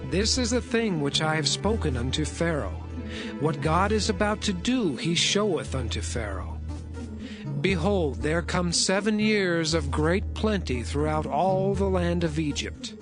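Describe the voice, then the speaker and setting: A man playing a composed young Hebrew interpreter of dreams in a dramatized scripture reading, measured and earnest. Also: a little high, expressive. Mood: solemn, assured. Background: soothing background music.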